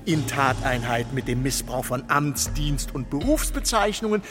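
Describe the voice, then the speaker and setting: An actor playing an officious, self-righteous public prosecutor in a radio drama, pedantic and long-winded in legal language. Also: male voice, medium pitch, animated, moderate pace, natural voice. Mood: pompous, accusing. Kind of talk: German radio drama acting. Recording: long reverberant hall, faint music behind.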